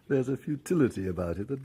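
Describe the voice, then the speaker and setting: An actor playing a dry, ironic, cultivated doctor with an understated manner in a radio drama. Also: male voice, mid-range voice, warm tone, breathy edge. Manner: wry, understated.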